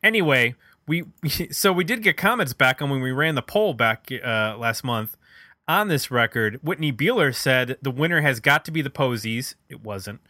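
A man's voice: medium pitch, animated, normal speed, sharp tone, dry recording, clean studio sound. A middle-aged man chatting casually as the host of a music podcast.

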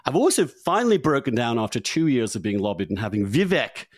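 A middle-aged man hosting a podcast in a chatty, unscripted way, halting and repeating words as he goes. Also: mid-range voice, sing-song, dry recording, clean studio sound. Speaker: podcast host.

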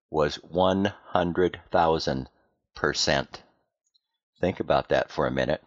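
An American man delivering a scripted, explanatory podcast monologue in a plain-spoken way, with deliberate emphasis and rhetorical questions. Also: low-pitched, no room sound, dull audio. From United States. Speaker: podcast host.

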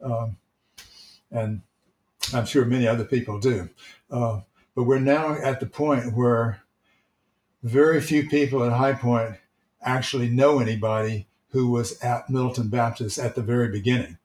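A man who is a church elder, gently telling stories from his church life in casual, reflective talk. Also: medium pitch, steady tone, moderate pace. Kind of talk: casual storytelling. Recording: roomy, echoey sound.

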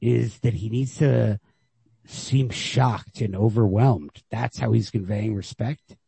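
A man talking through a book in casual discussion, halting and peppering his thoughts with "like". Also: on the low side, vocal fry, mellow, husky, no echo. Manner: halting, filler-heavy with frequent "like", casual swearing. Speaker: podcast host discussing short stories.

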